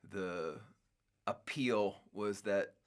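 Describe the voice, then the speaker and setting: A man's voice: on the low side, extremely slow, smooth. A middle-aged man hosting his own show, rambling casually with many restarts and fillers. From United States. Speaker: podcast host. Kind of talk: casual solo talk.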